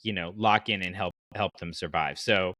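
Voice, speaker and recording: A man hosting a casual fan podcast, talking in an animated, colloquial way and explaining his reasoning at length. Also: low voice, moderate pace, dry recording. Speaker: podcast host.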